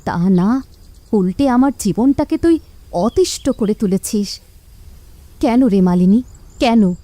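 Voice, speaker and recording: A woman voicing a weary, gentle widowed mother in a village in a cartoon. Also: on the high side, animated, slow, no echo. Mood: scolding. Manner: pleading, weary.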